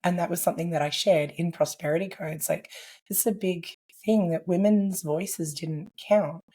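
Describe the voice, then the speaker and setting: A woman talks as a podcast guest, her voice low and worn hoarse by laryngitis. She speaks earnestly and reflectively, circling her thoughts with casual fillers. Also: normal speed, dark tone. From Australia. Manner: earnest, reflective, with frequent "like" and "I guess". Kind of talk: conversational podcast interview.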